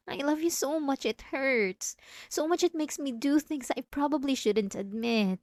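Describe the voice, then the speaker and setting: A woman's voice: high voice, a little mellow, no echo, clean studio sound. A woman playing a possessive, obsessive wife in an intimate, emotional ASMR roleplay, speaking straight to the listener. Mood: pleading, wounded. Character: possessive, obsessive yandere wife, clingy and menacing.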